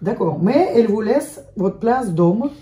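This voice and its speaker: A woman's voice: fairly high pitch, animated, a little slow, roomy sound, noisy background. A woman interviewing a couple, asking light, probing questions. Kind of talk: casual interview.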